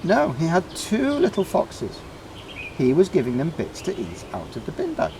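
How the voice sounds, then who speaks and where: A man's voice: on the high side, huge pitch swings, moderate pace. Man reading a children's story, noisy background. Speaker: storybook reader. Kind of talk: children's story read aloud.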